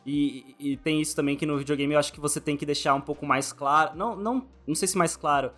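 A man's voice: on the high side, quick pace, a bit bright. A Brazilian man hosting a casual podcast interview, talking informally and rephrasing his questions as he goes. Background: background music.

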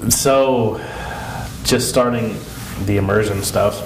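A man's voice: on the low side, slow. A man with a congested, sniffly head-cold voice, chatting casually on a podcast in an informal, rambling, earnest way. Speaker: podcast co-host. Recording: echoey room with clear background noise.

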